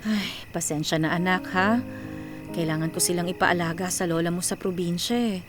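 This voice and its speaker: A woman playing a caring, gently firm, hardworking widowed mother in a radio drama. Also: on the low side, moderate pace, over music, close to an everyday voice.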